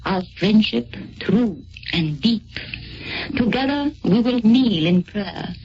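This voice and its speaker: A woman's voice: medium pitch, expressive, slow pace, a little mellow. A woman with a French accent giving a solemn, earnest scripted radio address in the 1940s. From France. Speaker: Free French army volunteer, guest speaker. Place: radio studio. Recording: muffled, noisy 1940s radio recording.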